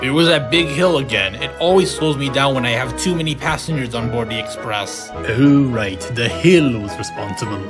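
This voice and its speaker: A man's voice: mid-range voice, normal speed, over music, lightly acted. A man voicing bickering, haughty steam engines in a cartoon dub. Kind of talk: comic dub character acting.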